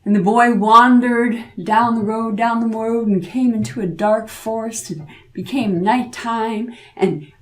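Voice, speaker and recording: A woman's voice: on the high side, fairly even intonation, measured pace. A woman storyteller narrating a folk tale dramatically, drawing out words and repeating phrases for effect.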